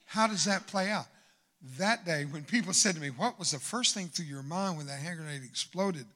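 An older man preaching a sermon in church, swinging from loud exhortation to hushed asides. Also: on the high side, normal speed. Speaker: guest preacher. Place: church sanctuary.

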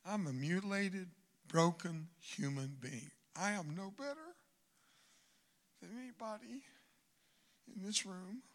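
An older man preaching a sermon in church, swinging from loud exhortation to hushed asides. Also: sits high, lively intonation, measured pace, pauses often. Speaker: guest preacher. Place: church sanctuary.

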